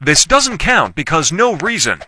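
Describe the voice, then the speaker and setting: Male gamer with a lively, higher-pitched voice. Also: measured pace, heavy background noise. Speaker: gaming video creator. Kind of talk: casual gameplay commentary.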